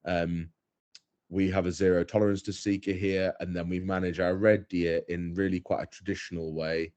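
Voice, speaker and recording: A man's voice: low voice, little pitch movement, normal speed, darkish tone. A young man, a guest on a podcast, talking casually in a thoughtful, hesitant way with many fillers and restarts. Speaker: head stalker, guest.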